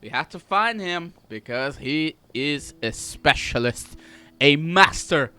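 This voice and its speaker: A man's voice: a little high, lively intonation, slow, sharp tone, clean tone. A man giving casual, playful let's-play commentary on a video game, often repeating himself. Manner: repetitive, playful. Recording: game music behind the voice.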